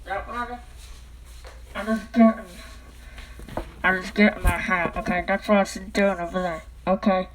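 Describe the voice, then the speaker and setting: Woman vlogger at home talking into a paper towel tube, keeps saying okay?, average pitch. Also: fairly even intonation, moderate pace, long pauses, noisy background. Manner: repeats "okay?" after nearly every sentence; talking through a cardboard tube like a megaphone. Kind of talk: rambling casual vlog talk. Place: indoors at home. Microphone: phone.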